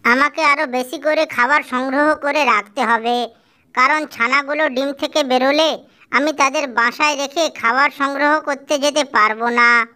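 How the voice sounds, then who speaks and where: A woman voicing a small, anxious mother tailorbird in a cartoon, with a high, thin, pleading voice. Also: steady tone, moderate pace, bright, forceful tone. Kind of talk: cartoon voice acting.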